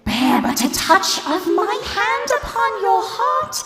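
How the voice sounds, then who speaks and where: Radio-play spirit: extremely high, slow, sweeping delivery, solemn and commanding, drenched in reverb. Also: female voice, sing-song, crowd noise, heavily acted. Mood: solemn, beckoning. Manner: slow, grand, deliberate theatrical delivery. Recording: echoey, long reverb under loud music.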